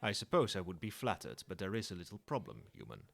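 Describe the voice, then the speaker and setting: A man's voice: deep voice, very animated, quick pace, creaky, a bit bright, full character voice. A man playing a proud old dragon in human form in an ASMR roleplay, speaking in formal, lofty and teasing phrases, with fondness. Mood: haughty, critical.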